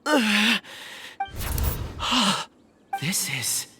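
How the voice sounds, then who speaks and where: A man's voice: high-pitched, sing-song, extremely slow, heavy background noise, exaggerated voice. An actor playing a mild, polite young man in a video game, hesitant, sighing and trailing off. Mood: hesitant, faint.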